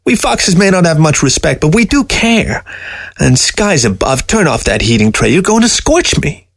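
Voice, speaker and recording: A man's voice: fairly high pitch, big pitch swings, moderate pace, no echo, studio quality, pitched above the performer's own voice. A man voicing a sardonic, taunting fox in a storybook reading, with a teasing, folksy manner. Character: sardonic, taunting dead fox, talking to its taxidermist.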